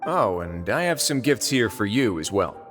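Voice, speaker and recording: An actor playing a wry, composed prison warden in a video game, sardonic and politely formal. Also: male voice, lowish voice, big pitch swings, moderate pace, fairly bright tone, in a large hall, faint music behind. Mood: wry, easygoing. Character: wry, composed adult male prison warden with dry humour.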